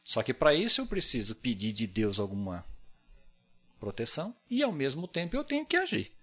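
A man's voice: mid-range voice, wildly swooping pitch, normal speed, a bit bright. A Brazilian man speaking Portuguese with a Brazilian accent, a podcast guest talking earnestly and reflectively, often ending thoughts with a tag question. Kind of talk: casual podcast conversation.

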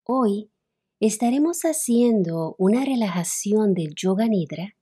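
A woman leading a guided relaxation as a meditation instructor, soothing and unhurried, with long pauses between phrases. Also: medium pitch, mellow, breathy edge. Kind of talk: guided relaxation, yoga nidra narration.

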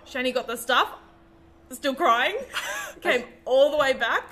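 A woman chatting as a podcast guest, telling anecdotes in an animated way and acting out what people said. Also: high voice, slow. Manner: animated storyteller who acts out quotes. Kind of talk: casual chat, telling anecdotes.

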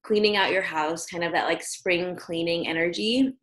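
A woman co-host talks through astrology in a relaxed, rambling way, full of fillers. Her voice sits a little low and sounds sleepy and slightly raspy, as if recording late at night over a video call. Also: normal speed. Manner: chatty, explains astrology with many fillers. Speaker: podcast co-host. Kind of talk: casual chat.

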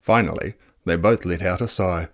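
A narrator reading a children's book aloud in a dramatic, playful, expressive style. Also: low-pitched, moderate pace. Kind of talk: expressive storybook read-aloud.

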